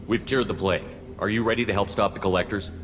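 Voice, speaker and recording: A firm, principled commander acted by a man from a video game. He has a low voice and a quick, controlled delivery, with long reverb and music behind him. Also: steady tone, close to an everyday voice. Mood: businesslike. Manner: firm, plain-spoken, controlled. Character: steady, principled male military commander.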